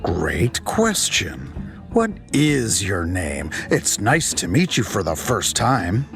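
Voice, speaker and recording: A man's voice: lowish voice, huge pitch swings, slow pace, gravelly, music in the background, heavily acted. A man playing a cagey, sheepish space lieutenant with a hesitant, evasive manner in an audio drama. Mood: evasive, polite.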